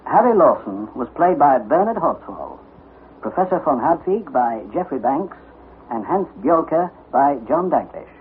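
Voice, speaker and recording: A man's voice: fairly high pitch, big pitch swings, unhurried. A man reading a formal scripted announcement as a radio announcer. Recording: tinny, noisy vintage radio recording.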